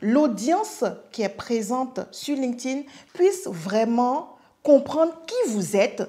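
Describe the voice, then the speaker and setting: Lively woman guest explaining with enthusiasm. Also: fairly high pitch, takes it slowly. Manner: animated, warm persuasive, with rhetorical questions and repeated words. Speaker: podcast guest.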